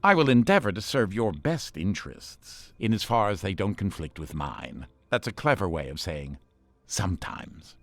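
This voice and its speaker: An actor playing a pompous, sarcastic artificial intelligence ship companion for a game voice pack, full of condescending disdain. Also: male voice, low-pitched, sing-song, moderate pace, a bit bright, dry recording, heavily acted. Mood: sardonic. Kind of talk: scripted game voice-pack acting.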